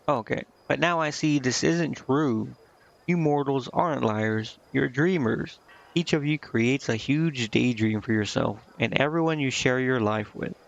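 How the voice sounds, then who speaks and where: A man voicing an earnest, naive female succubus from a video game, musing and halting as he reads her lines. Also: medium pitch, gravelly, muffled recording. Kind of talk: reading video game dialogue aloud.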